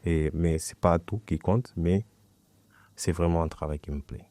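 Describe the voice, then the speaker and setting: A young man from Portugal whose first language is Portuguese, talking as a guest in a relaxed, hesitant way and often restarting his phrases. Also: deep voice, measured pace, no echo. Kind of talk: casual conversation.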